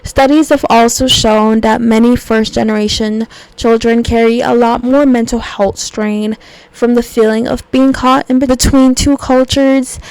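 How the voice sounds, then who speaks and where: A woman's voice: fairly high pitch, steady tone, a little slow, a little mellow, smooth, dry recording, rough recording. A young woman hosting a solo podcast, talking in a casual, reflective way with lots of fillers. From United States. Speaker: podcast host.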